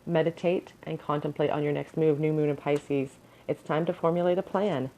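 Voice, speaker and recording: A woman's voice: low-pitched, fairly even intonation, moderate pace, mellow, muffled recording. A woman with a crackly voice giving an earnest pick-a-card tarot reading at home, advising with frequent ums and restarts. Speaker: tarot reader.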